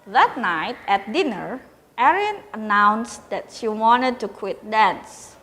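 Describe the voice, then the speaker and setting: A woman reading a children's story aloud as an expressive narrator, in a reverberant room with faint noise. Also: a little high, takes it slowly. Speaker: story reader.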